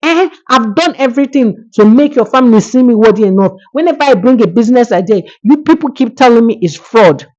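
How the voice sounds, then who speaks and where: A woman's voice: fairly high pitch, lively intonation, a little fast, hardly any pauses, mellow, dull audio, voice pushed up, lightly acted. A woman voicing a frustrated, complaining husband in a spoken folktale. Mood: angry, frustrated. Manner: indignant, complaining. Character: frustrated, aggrieved husband demanding respect.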